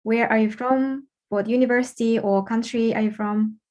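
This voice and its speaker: High-voiced woman hosting an event. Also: steady tone, moderate pace, a little mellow. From Kazakhstan. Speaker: event host and facilitator. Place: online video call.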